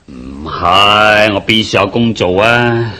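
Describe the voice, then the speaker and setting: Bright-voiced broke young man, Hong Kong Cantonese. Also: on the low side, expressive, takes it slowly, heavily acted. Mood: apologetic. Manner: apologetic, eager to agree. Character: hard-up young man, placating, anxious debtor. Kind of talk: Cantonese radio drama acting.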